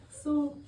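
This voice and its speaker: A woman in her thirties from Turkey does stand-up on a club stage, chatty and quick to laugh at her own jokes. Her voice sits high, and she takes her time with the lines. The sound comes from a distance, with room echo. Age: 30s. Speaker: stand-up comedian. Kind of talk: open-mic stand-up comedy. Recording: echoey room recorded from afar.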